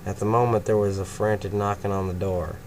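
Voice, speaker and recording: Male story reader, casual, low-pitched, clear background hiss. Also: fairly even intonation, fairly quick, darkish tone. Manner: casual, breaks off to laugh and comment. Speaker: fanfiction reader. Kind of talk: first-person story reading.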